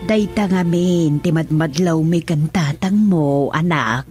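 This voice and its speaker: A woman's voice: low-pitched, takes it slowly, over music. An actress playing a worried, suspicious older mother in a radio drama. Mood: concerned, probing.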